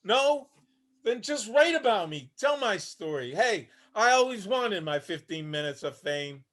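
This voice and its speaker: A man's voice: high-pitched, sing-song, unhurried, fairly bright tone, full character voice. A man acting a haunted, homeless older Vietnam veteran, weary and bitter, telling his story plainly in a staged play reading. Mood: pleading, wry. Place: online video call reading.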